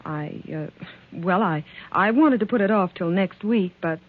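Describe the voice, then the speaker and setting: A woman's voice: on the low side, measured pace, narrow, tinny audio. An actress playing a guarded, evasive working woman, politely cool, in a 1940s radio drama. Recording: band-limited old 1940s radio recording.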